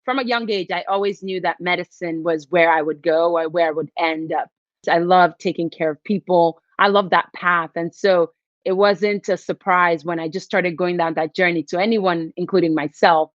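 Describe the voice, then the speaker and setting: A woman from Nigeria talks in an earnest, reflective way as a guest on a podcast. Her voice is on the low side, recorded dry and a little dull, as if over a modest mic. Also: fairly even intonation, normal speed, no room sound. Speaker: physician and investor guest. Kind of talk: podcast interview.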